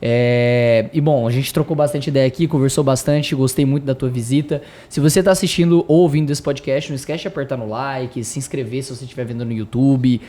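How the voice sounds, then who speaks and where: A man's voice: medium pitch, fairly even intonation, a little fast, few pauses, dark tone. A man from Brazil hosting a podcast, closing the episode in a friendly, upbeat way. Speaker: podcast host. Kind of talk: casual conversation and outro.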